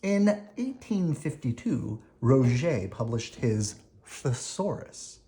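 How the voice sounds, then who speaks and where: Children's read-aloud narrator: unhurried, expressive, mid-pitched, with swooping, lively intonation. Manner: expressive, deliberate reading with dramatic emphasis. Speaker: storybook reader explaining words to children. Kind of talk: reading a children's picture book aloud.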